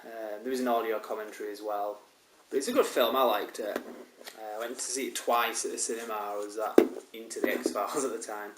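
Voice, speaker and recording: A man with a slightly low voice talks casually through a collection review, full of ums and restarts. He has a head cold. The recording is roomy and carries a loud steady hiss. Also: a little fast. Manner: rambling, many ums and restarts. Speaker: DVD reviewer. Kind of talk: casual show-and-tell review.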